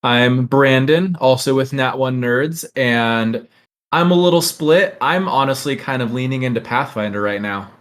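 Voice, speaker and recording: A man speaks as a podcast co-host in relaxed, informal conversation. His voice has an average, middle pitch. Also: measured pace. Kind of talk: casual chat.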